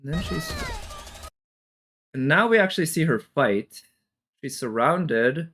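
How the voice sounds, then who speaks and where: A man's voice: fairly high pitch, big pitch swings, slow pace, fairly bright tone. Man giving casual, musing video commentary. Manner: musing, analytical, swears casually. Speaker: reaction video streamer.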